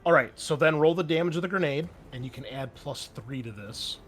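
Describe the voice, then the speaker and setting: A man's voice: on the high side, fairly quick, fairly bright tone, soft background music. A man acting as game master, narrating and refereeing a tabletop roleplaying game in an informal, conversational way.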